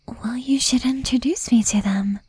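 A woman voicing a jealous, possessive young demon girlfriend in a breathy, intimate whisper with pointed pauses, for an ASMR roleplay. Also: high voice, mellow, dry recording. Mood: suspicious, probing.

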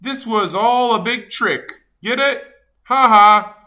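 A woman's voice: a little high, slow, sharp tone, voice pushed up. A woman voicing a grumpy, dramatic but good-hearted male sunflower seed in a children's storybook, with theatrical, self-important delivery. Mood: excited, resolved. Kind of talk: animated children's storybook read-aloud.

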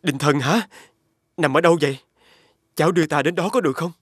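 A man voicing a homesick, courteous young scholar in an animated fairy tale, polite and earnest. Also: fairly high pitch, fairly quick, dry recording, clean studio sound, natural voice. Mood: puzzled, friendly.

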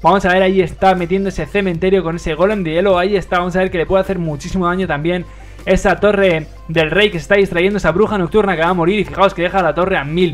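A young man from Madrid with a Spain Spanish accent, hosting a livestream and commentating a mobile game match with lots of fillers. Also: high voice. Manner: excitable play-by-play, many fillers. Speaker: livestream host and caster.

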